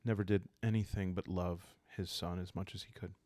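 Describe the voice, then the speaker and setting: A man speaking softly and calmly, improvising a story for a solo roleplaying game and thinking aloud hesitantly. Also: low-pitched, no room sound. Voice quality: soft, calm. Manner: hesitant, thinking aloud with long pauses and sighs. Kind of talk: calm unscripted storytelling for sleep, journaling game playthrough.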